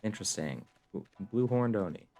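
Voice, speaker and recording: A man's voice: fairly low pitch, very animated, brisk, warm tone, faint music behind. A man giving casual commentary as he streams a video game.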